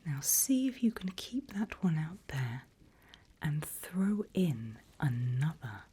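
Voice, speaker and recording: A woman whispering an ASMR roleplay as a seasoned demon mentor, slow and deliberate, with playfully sly menace, close to the microphone. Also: deep voice, sing-song, mellow, husky.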